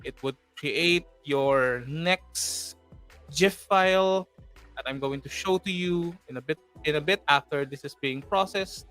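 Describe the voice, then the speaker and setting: A man's voice: fairly high pitch, a little slow, bright, forceful tone, smooth, faint music behind. A man with a Filipino accent hosting a live screen-share tutorial, chatty and full of fillers, with joking asides to viewers. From Philippines. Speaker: host.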